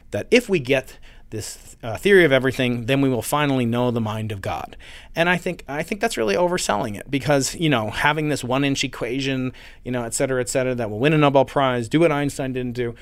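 A man talks through his anecdotes with animated energy, pushing back on ideas as a guest in an interview. His voice sits in a middle register, and the recording is dry and close. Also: medium pitch, no echo. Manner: animated, storytelling, pushes back. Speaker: physicist guest. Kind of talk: conversational interview. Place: university building.

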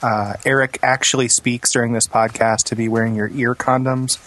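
A man's voice: mid-range voice, flat delivery, normal speed, fairly bright tone, a little hiss or hum. A man co-hosting a podcast in casual banter, with a wry, joking manner. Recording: music clearly behind the voice.

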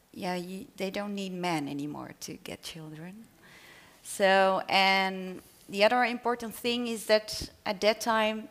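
Dutch-accented woman, lowish voice, panel author reading her own short story, hesitant. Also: a bit bright. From Netherlands. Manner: hesitant, apologetic about her English. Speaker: author reading at a literary event.

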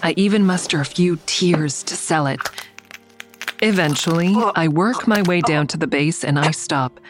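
A woman's voice: deep voice, normal speed, lightly acted. An actress playing a guarded, defiant woman in an audio drama, narrating and speaking in a terse, dry, confiding way. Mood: tense, confiding. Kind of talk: first-person audio drama narration and dialogue. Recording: faint music under the voice.

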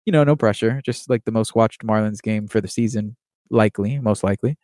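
A young man from Miami, Florida, talking earnestly and quickly in a casual monologue, with plenty of fillers and restarts. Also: fairly low pitch, darkish tone, no echo, studio quality. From Miami, Florida, USA. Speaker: podcast host and baseball writer.

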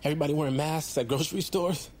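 A man's voice: on the high side, fast talker, darkish tone, natural voice. Man playing a rambling, defensive goofball buddy. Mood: boastful, mock modest. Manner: rambling, casual slangy excuses. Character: boastful, defensive goofball male bounty hunter and buddy. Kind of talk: naturalistic comedic audio drama.

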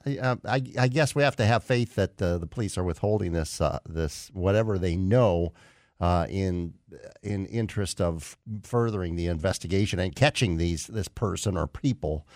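A man hosting a live call-in radio show, talking in a halting way with lots of fillers. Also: sits low, a little mellow, no room sound, studio quality. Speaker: radio talk show host. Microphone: studio microphone.

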